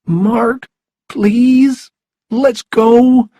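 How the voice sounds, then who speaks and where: Man acting a frightened, high-pitched young boy. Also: fairly even intonation, a little slow, slightly rough, exaggerated voice. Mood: pleading, tearful. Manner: urgent, pleading, raised voice. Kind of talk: audiobook character voice.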